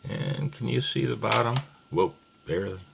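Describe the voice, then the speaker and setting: A man's voice: mid-range voice, normal speed, creaky, noisy background. A man giving an informal, rambling tutorial as a hobbyist instructor, folksy and apologetic as he thinks aloud. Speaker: amateur radio hobbyist teaching Morse code.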